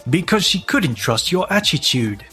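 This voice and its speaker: A composed divorce lawyer played by a man speaks formally and to the point. It is manga drama acting, calm and professional, over faint music. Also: a little high, normal speed. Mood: firm, factual.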